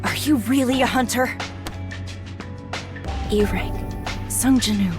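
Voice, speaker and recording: An actress playing a stern, guarded high-ranking hunter in a game cutscene, speaking with curt authority. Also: female voice, slow pace, music in the background. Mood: puzzled, intrigued. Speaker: voice actor playing a supporting character. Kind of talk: English game cutscene acting.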